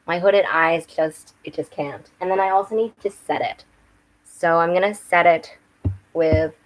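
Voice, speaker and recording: Slightly hoarse young woman chatting. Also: lowish voice, low-quality recording. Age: young adult. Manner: rambling, chatty, with restarts and self-corrections. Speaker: book and makeup channel host. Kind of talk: casual live-stream chat while doing makeup. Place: home room with bookshelves. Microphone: webcam.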